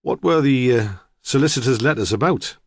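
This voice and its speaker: A man reading the lines of a calm, reserved police detective who speaks in a measured, quiet way. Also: mid-range voice, dry recording, studio quality.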